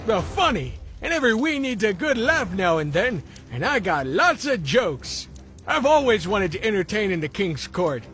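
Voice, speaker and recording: A little cartoon dragon sidekick to a villain, brash and jokey. The voice is high for a man and bounces around with very animated intonation. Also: moderate pace, faint music behind. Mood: cheeky, boastful. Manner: brash, wisecracking. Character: small cheeky dragon henchman, jokey, eager, disgruntled.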